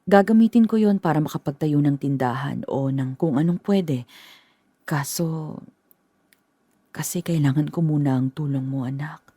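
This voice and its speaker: A woman's voice: low voice, warm tone, slightly rough, dry recording. An actress playing a worried, hesitant middle-aged mother explaining family troubles to her son. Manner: hesitant, halting. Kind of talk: radio drama acting.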